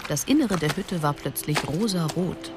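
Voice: mid-range voice, expressive, moderate pace, close to an everyday voice. A narrator telling a radio play in a scripted storybook style. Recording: loud music behind the voice.

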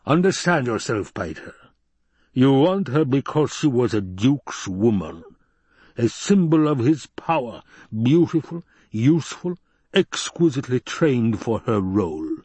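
A man voicing an obese, sinister male baron with a rumbling basso voice, slow and taunting, in an audiobook. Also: sing-song, a little mellow, husky, no room sound. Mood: gloating. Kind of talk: audiobook character voice.

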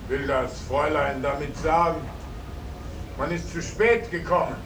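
An actor playing a proud, stiffly polite soldier sweetheart in a 1950s German radio drama. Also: male voice, on the high side, fairly even intonation, measured pace, natural voice. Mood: puzzled, hurt. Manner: stiffly polite, speaks in third person. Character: Simon, formal, proud, courteous returning soldier sweetheart. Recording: roomy, distant 1950s radio recording, faint hiss.

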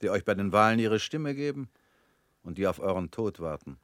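An actor in a radio drama playing a weary middle-aged family man, guarded and resigned, talking in flat, curt lines that often sink quiet. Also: fairly low pitch, a little fast, no echo, studio quality, close to an everyday voice. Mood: bitter.

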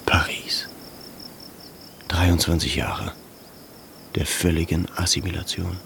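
An actor in a radio drama playing a pensive, gently strict scholarly father in his thirties, with a gravelly voice. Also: low-pitched, a little hiss or hum, full character voice. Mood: brooding. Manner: slow, halting, introspective.

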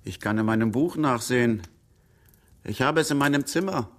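A man's voice: mid-range voice, normal speed, close to an everyday voice. An actor playing a blunt, suspicious man in a radio crime drama, with a brusque, confrontational way of talking. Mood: gruffly probing.

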